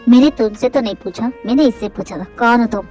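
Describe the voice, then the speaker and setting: Woman playing a harsh young husband, pushed low, curt and commanding. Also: fast talker, warm tone, soft background music, natural voice. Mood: stern. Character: tormented young wife, male role, man.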